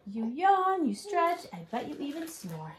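A woman reading as a gentle grandfather. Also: high voice, sing-song, unhurried, reverberant, pitched above the performer's own voice. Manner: soft and hushed.

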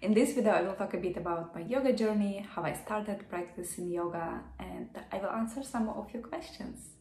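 A woman's voice: mid-range voice, a little mellow. A young woman in her twenties from Ukraine with a Ukrainian accent, chatting casually to camera in a friendly way, laughing often and pronouncing her words with care. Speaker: yoga vlogger. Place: small office room indoors. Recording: echoey room.

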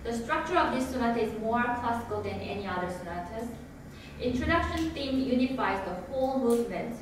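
A woman's voice: on the high side, expressive. Woman lecturing in an echoey hall. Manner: measured, reading from notes with occasional hesitations. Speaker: lecturer giving a lecture recital. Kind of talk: read lecture presentation. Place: recital hall with grand piano and projection screen. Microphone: far room camera. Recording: echoey hall from far off, audible room noise.

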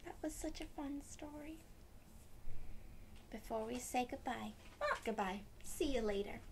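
A young woman reading a picture book aloud to children, gently explaining it in simple words. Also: high-pitched, a little slow, slight background noise. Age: young adult. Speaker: read-aloud storyteller for children. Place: small room at home, indoors.

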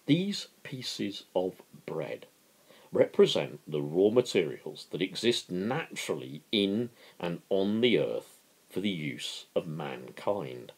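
A man voicing an earnest workman who lectures his workmates in a deliberate, declamatory way, in a dramatic book reading. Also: sits low, sing-song, a little mellow, breathy edge, full character voice, rough recording. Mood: earnest, emphatic.

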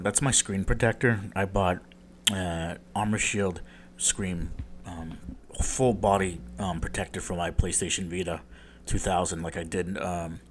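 A man with a deep, just-woken-up voice walking through a tech tutorial in a rambling, self-deprecating way, with lots of ums. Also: slight background noise. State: just woke up, deep morning voice. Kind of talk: casual screen-recorded tutorial voice-over.

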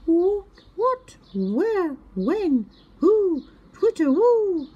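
Woman does a tiny, sweet, dim young owl for a storybook; squeaky and high, always asking questions. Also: very slow, muffled recording, full character voice. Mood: eager. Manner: excited high-pitched questions. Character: small kind curious scatterbrained boy owl.

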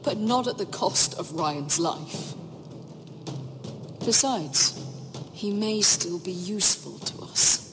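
A woman's voice: fairly low pitch, expressive, takes it slowly, long pauses, long reverb tail, over music. An actress playing an earnest noblewoman in a video game, imploring and urgent, protective of her own. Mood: pleading. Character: earnest, pleading noblewoman protecting a loved one. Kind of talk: dramatic video game acting.

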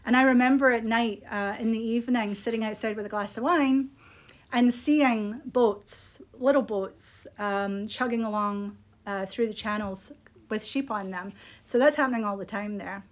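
A woman's voice: a little high, normal speed. A middle-aged woman chats casually and unscripted as she presents products on a live shop stream. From Scotland. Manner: chatty, unscripted, appreciative. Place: small indoor room. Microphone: phone at arm's length.

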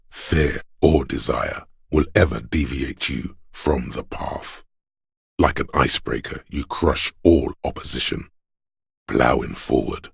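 A detective's bodily inner voice for a video game, grave and commanding. It is a bottomless bass, thick with gravel and very husky. Every line lands with solemn, portentous deliberation, like dramatic narration. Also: male voice, dry recording, clean studio sound, full character voice. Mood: solemn, rousing. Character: Endurance, grave inner voice of a battered detective's body.

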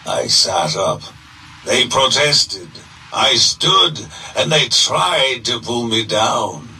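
Slow, grandiose zealot of greed. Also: male voice, medium pitch, lively intonation, sharp tone, far from the mic, a little hiss or hum, full character voice, poor audio. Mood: fervent, intense. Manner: theatrical, deliberate, declamatory. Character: zealous, grandiose male merchant prophet preaching greed and desire. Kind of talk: dramatic scripted monologue, game audio log.

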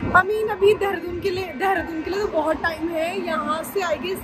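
A high, bright-voiced young woman talks cheerfully into a camera held at arm's length, mixing Hindi and as she travels. Loud music runs beneath her voice throughout the recording. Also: steady tone, normal speed, low-quality recording. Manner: chatty and upbeat, talking straight to camera. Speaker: travel vlogger. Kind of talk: casual on-camera travel vlog, Hindi-English mix. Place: inside a bus and at roadside stops. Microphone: handheld camera at arm's length.

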